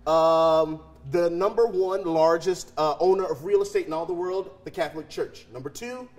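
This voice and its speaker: A young man, a comedian guest, ranting loudly and jokingly in a raunchy, animated way, recorded on a lapel mic in a restaurant bar. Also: a little high, normal speed. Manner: loud, animated ranting, shouts for emphasis.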